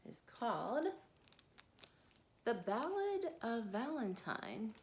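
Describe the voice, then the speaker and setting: A woman in her thirties reading picture books aloud to children, expressive and sing-song, and a little tired. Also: a little high, takes it slowly, slight background noise. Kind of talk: picture-book read-aloud.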